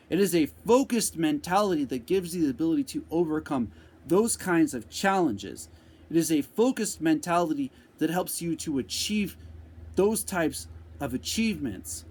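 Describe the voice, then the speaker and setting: Man, motivational speaker, slightly high voice, slow deliberate repetitive phrasing. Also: a little mellow, husky. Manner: answers a viewer question. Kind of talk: unscripted motivational talk.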